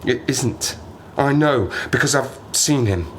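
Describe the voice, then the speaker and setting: Over a clearly noisy ambience comes a man acting as a stranded polar survivor in an audio drama. He speaks slowly and haltingly, rambling with dark wit and haunted agitation, his pitch swinging widely. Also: lively intonation. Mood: uneasy, haunted. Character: stranded Arctic survivor, haunted, sardonic man unravelling with fear. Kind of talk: dramatic audio play monologue.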